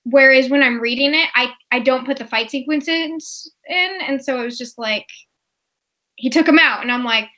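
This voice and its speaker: A woman with a high, bright voice chats about books as a co-host. Her delivery is loose and rambling, like talk among friends. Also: normal speed. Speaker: co-host on a readalong live show. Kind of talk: casual book chat.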